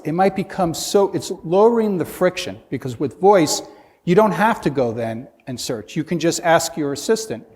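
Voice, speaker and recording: A man's voice: on the high side, darkish tone. A man speaking as a conference panelist, earnestly building his case with rhetorical questions and frequent restarts. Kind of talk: panel discussion. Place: conference hall. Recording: reverberant conference hall.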